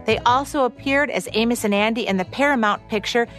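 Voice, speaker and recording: A woman co-hosting a podcast, reading scripted history of old radio in a smooth, even way. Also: a little high, a little fast, faint music behind. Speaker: podcast co-host.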